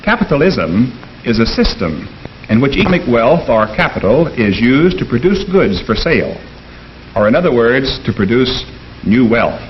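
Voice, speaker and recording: An actor playing an earnest American professor in a 1950s educational film, speaking in a formal, deliberate, didactic way. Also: male voice, mid-range voice, big pitch swings, lightly acted. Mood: earnest, instructive. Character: earnest male economics professor lecturing his class. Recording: dull, noisy 1950s film soundtrack.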